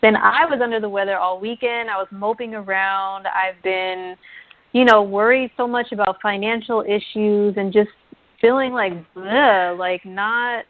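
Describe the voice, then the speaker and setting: A woman's voice: mid-range voice, fairly even intonation, measured pace. A young woman, a mother, co-hosting an astrology show, chatting in a self-deprecating way with lots of "like". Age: young adult. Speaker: astrology talk show co-host. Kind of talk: casual chat. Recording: dry tinny phone line.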